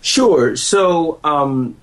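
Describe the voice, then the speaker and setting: A man's voice: a little high, expressive, extremely slow, a bit bright, low-quality recording. A man in his thirties from the United States, a lawyer and entrepreneur talking through his background as a podcast guest, self-deprecating and prone to restarts. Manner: self-deprecating, laughs easily, rambling restarts. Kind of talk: relaxed conversational interview. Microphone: handheld microphone.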